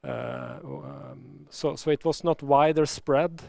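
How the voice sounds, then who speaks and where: A man speaking as a company executive, answering analysts in a measured, halting way and often repeating words. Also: medium pitch, steady tone, gravelly, a little mellow, no echo, studio quality. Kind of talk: earnings call answers.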